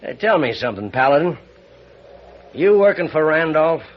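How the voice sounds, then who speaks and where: An actor playing a bitter, greedy older rancher with an American accent and a folksy, needling drawl in a radio western. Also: male voice, fairly high pitch, big pitch swings, moderate pace. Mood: suspicious, greedy. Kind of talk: old-time radio western acting. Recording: muffled, noisy old radio recording.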